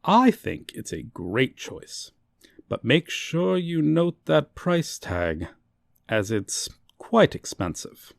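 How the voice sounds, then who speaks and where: A man's voice: on the high side, sing-song, slow, gravelly, no room sound, clean studio sound, exaggerated voice. A man playing a chatty, cheerful shop clerk who gives polite, casual sales patter with playful asides in an ASMR roleplay. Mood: pleased, helpful.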